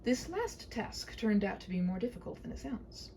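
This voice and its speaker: A woman narrates a comic storybook tale with a lively, swooping delivery. Her tone is mellow with some vocal fry, and her phrasing is whimsical and mock-formal. There is faint noise in the home recording. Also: a little high, normal speed. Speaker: storyteller reading own short story. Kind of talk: humorous scripted storybook narration with wry asides.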